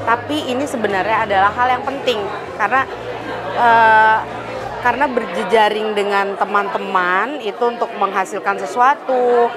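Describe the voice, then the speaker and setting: A woman speaking thoughtfully in an interview, pausing and searching for words. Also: on the high side, over music, crowd noise. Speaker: panel speaker. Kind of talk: interview statement.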